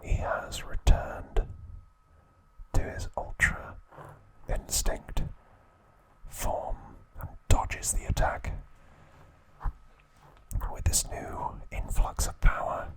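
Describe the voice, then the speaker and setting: Male, whispered ASMR narrator, unhurried pace, hushed delivery, short deliberate phrases. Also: rough recording. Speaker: ASMR host.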